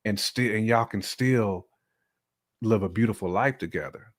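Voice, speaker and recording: This is an American man with a fairly deep voice. He talks in a colloquial, conversational podcast style. His delivery is emphatic, with regular check-ins to make sure he is followed. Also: moderate pace. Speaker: podcast guest or co-host discussing relationships. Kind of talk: casual conversational podcast talk.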